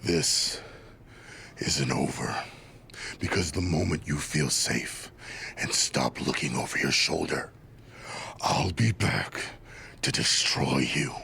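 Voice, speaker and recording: A bitter, vengeful wrestling villain in a game cutscene. His voice is low and gravelly, with slow, threatening delivery over faint ambient noise. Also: bright, forceful tone, exaggerated voice. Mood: menacing, bitter. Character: menacing, bitter male wrestling villain out for revenge. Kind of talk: video game cutscene acting.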